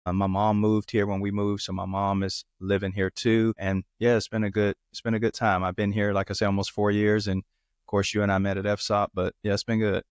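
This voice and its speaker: Flat-voiced preacher telling his story. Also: on the low side, a little fast, clean tone, dry recording, clean studio sound. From Florida. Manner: relaxed storytelling, repeats himself. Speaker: preacher, guest. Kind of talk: casual interview over video call.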